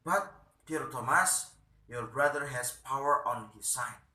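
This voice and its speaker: A man voicing an anxious, practical middle-aged wife in a play, pleading and halting. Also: medium pitch, bright, forceful tone, roomy sound.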